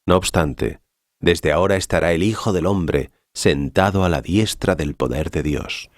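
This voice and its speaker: A man playing Jesus, calm, solemn and measured, in a dramatized scripture reading. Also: deep voice, no room sound, studio quality.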